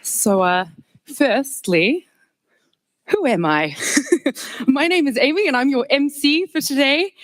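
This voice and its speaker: A woman's voice: high voice, expressive, takes it slowly, long pauses, some chatter behind. A woman in her thirties with a New Zealand accent, hosting a live event from a stage mic in a large hall, chatty and jokey with frequent laughs. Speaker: master of ceremonies.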